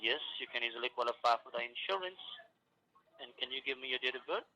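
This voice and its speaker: Brisk sharp-voiced phone salesman. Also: mid-range voice. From Florida. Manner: brisk, businesslike. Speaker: insurance salesman. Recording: tinny phone line.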